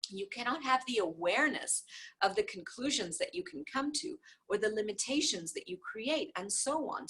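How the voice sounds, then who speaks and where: Expressive, slightly husky woman reading aloud. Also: mid-range voice. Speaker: reader of a book aloud. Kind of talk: book read aloud, informal.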